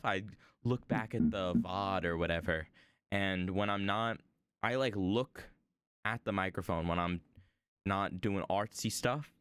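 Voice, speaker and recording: A man streaming from home while he draws, chatting about his desk microphone in a rambling, self-deprecating way, his voice a little hoarse. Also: no room sound. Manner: rambling, self-deprecating, lively swings in pitch. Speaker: art streamer. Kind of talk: casual stream chat.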